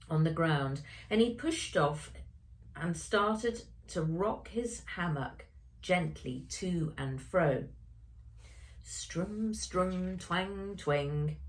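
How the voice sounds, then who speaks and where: Slow, expressive woman reading a storybook. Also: on the low side. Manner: expressive, addressing young listeners. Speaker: author reading own story. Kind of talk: children's storybook narration.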